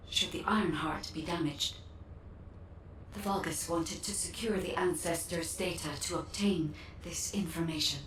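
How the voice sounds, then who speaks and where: A low female voice, set back in a roomy space with faint noise, an actress playing a video game's artificial guide. She is solemn, formal and exact, weighing each phrase. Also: fairly bright tone, distant mic, natural voice. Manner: measured, formal, precise. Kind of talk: scripted game cutscene acting.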